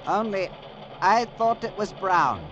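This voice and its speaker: High-voiced, timid train conductor. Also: male voice, expressive, slow, highs cut off. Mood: anxious, insistent. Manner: formal, servile, anxious. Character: timid, worried, deferential male train conductor. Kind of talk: old radio drama acting. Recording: noisy old radio recording, train rumble.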